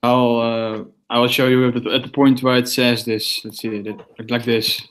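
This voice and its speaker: A man with a Dutch accent, talking haltingly in a casual online voice chat. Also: medium pitch, little pitch movement. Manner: halting, many restarts. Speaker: call guest.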